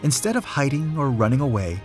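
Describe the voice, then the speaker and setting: A man reading a rhyming children's story aloud, in a measured, rhythmic storytelling way with clear emphasis. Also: mid-range voice, sing-song, soft background music. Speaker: storybook reader.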